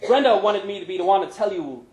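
A man voicing a nervous, conciliatory cowboy stepdad who fumbles haltingly for his words in a short story. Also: sits high, expressive, darkish tone, roomy sound, pitched above the performer's own voice. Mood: awkward, halting. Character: nervous, conciliatory cowboy stepdad, male country bar regular.

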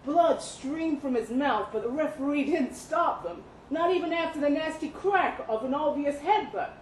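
A woman reading a literary short story aloud at a live event, with expressive, deliberate phrasing and dramatic pauses. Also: sits high. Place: indoor live reading event at a pub venue. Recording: roomy live venue, some background noise.

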